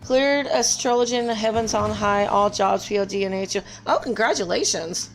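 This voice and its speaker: A woman's voice: mid-range voice, moderate pace, faint music behind. A woman live-streaming a game and chatting casually with viewers, her voice strained and scratchy, apologetic and full of fillers.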